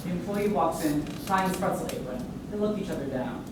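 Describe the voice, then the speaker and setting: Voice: mid-range voice, animated, a little fast, lightly acted. A narrator reading out scripted stage directions at a staged reading. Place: echoey room, staged reading. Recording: distant, very echoey, noisy room.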